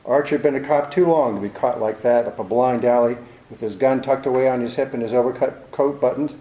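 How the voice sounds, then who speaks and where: A man's voice: medium pitch, fairly even intonation, fairly quick, dark tone, reverberant, highs cut off, natural voice. An actor playing a hard-boiled, cynical male private detective in a 1940s-style radio drama, with a clipped, sardonic delivery. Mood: cold, probing.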